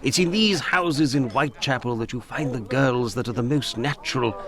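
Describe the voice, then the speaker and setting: An actor playing a smug, lecherous Victorian gentleman in a video game, insinuating and condescending. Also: medium pitch, normal speed, lightly acted. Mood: sly, insinuating.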